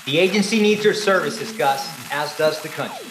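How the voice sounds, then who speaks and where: An actor in a radio play playing a purposeful government agent running a secret experiment. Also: male voice, a little high, moderate pace, a crowd in the background, close to an everyday voice. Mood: persuasive. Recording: echoey room.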